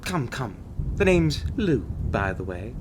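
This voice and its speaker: A man's voice: medium pitch, very animated, takes it slowly, noisy background. A man playing a suave, condescending, secretive monster-hunting agent in a scripted ASMR roleplay, with a theatrical drawl and pet names for the listener. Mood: coy, beckoning. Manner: theatrical, drawling, calls listener darling and doll. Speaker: voice actor in an audio roleplay.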